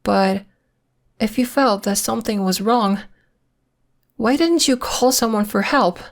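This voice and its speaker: A woman playing a secretive, polite and protective young werewolf in an ASMR roleplay, speaking in a measured, hesitant, matter-of-fact way. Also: on the high side. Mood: concerned, mildly reproving.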